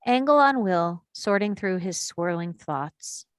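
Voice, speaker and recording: Low-pitched woman reading screenplay directions. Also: expressive, takes it slowly, clear, resonant tone. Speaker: stage directions reader. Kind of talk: scripted narration of screenplay action.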